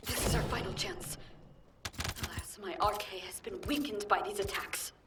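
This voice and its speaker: A woman's voice: mid-range voice, normal speed, natural voice. A woman playing a proud crusader warrior in a video game, speaking in formal, old-fashioned, grave language. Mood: solemn, resolute. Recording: distant, echoey, noisy game audio.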